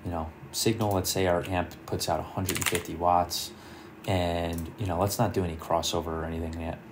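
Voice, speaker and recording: A man presenting an informal screen-share software tutorial, explaining things and thinking aloud as he works. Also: low voice, little pitch movement, brisk, background noise. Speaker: car audio tutorial presenter.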